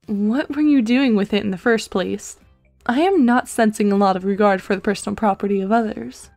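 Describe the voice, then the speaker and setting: A woman voicing a dry, precocious teenage girl in a web comic dub, speaking in a measured, formal, deadpan way. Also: medium pitch, creaky, soft background music, natural voice. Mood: dry, needling.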